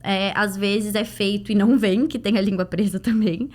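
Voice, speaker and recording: A woman's voice: medium pitch, fairly even intonation, moderate pace, darkish tone. A woman with a Brazilian Portuguese accent answering in a podcast interview as a clinician guest, explaining things conversationally with illustrative examples. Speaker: guest, pediatric dental clinician.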